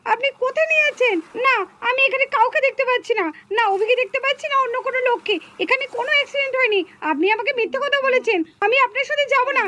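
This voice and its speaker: A woman's voice: very high-pitched, racing, few pauses, bright, forceful tone, a little hiss or hum. A woman voicing a frightened young wife, pleading and crying out for help. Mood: suspicious. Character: frightened young wife, trusting then desperate. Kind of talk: amateur home-video drama.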